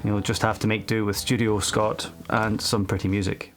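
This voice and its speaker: Low gravelly man narrating a vlog. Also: flat delivery, normal speed, music in the background. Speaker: vlogger narrating. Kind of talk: voice-over narration. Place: home studio.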